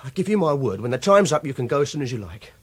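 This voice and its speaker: A man's voice: mid-range voice, big pitch swings, quick pace, slightly rough, no echo. An actor playing an awkward, obsessive young captor in a radio drama, hesitant and insistent in his speech. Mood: agitated pleading. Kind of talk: radio drama acting with confessional narration.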